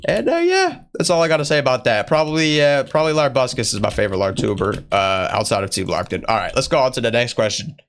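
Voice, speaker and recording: A man's voice: mid-range voice, big pitch swings, quick pace, hardly any pauses, fairly bright tone, slight background noise. A man doing casual, rambling, slangy YouTuber Q&A in a put-on, strained voice that imitates another creator. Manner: slangy bro-talk, rambling. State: voice sore from straining. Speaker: YouTuber answering viewer questions. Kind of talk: casual Q&A vlog chat in character.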